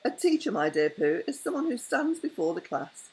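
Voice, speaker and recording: A woman's voice: on the high side, sing-song, normal speed, darkish tone, low-quality recording. A grandmother voicing a pompous, scornful male owl in a bedtime storybook reading. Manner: scornful, lofty.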